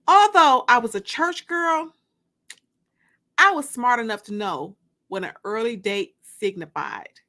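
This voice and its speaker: A woman giving an expressive, dramatic first-person reading of fiction, like an audiobook. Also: fairly high pitch. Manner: expressive, acts out dialogue. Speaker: narrator reading fiction aloud.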